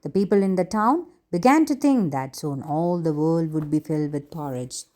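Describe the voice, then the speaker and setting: A woman reading a children's fairy tale aloud in a deliberate way for young listeners. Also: low voice, animated, dark tone. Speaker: storyteller.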